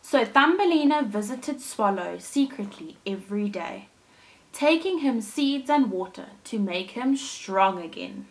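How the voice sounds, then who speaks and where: A woman who teaches young children, telling a story with expressive, deliberate phrasing. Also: a little high, slight background noise, rough recording. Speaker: primary school teacher.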